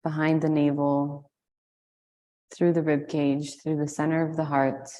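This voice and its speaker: A woman leading a guided meditation, giving slow, soothing instructions with long pauses. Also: low voice, flat delivery, warm tone. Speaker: meditation and yoga teacher.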